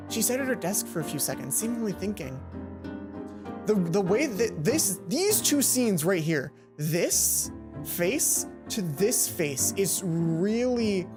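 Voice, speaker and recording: Woman let's player, medium-pitched, expressive story reader with casual asides, game music underneath. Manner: expressive, acts out lines, then chatty asides. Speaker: let's play host. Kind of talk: reading visual novel aloud with casual commentary.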